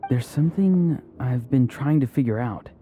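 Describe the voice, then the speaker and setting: An actress playing a quiet, pensive adventurer in a video game, subdued and thoughtful. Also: female voice, a bass voice, expressive, moderate pace.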